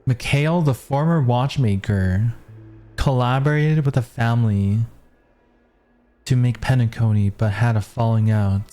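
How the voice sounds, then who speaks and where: A game streamer with a mellow, mid-pitched voice. The delivery is casual and offhand, switching between murmured reactions and dialogue read aloud. Faint music plays behind. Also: a little slow.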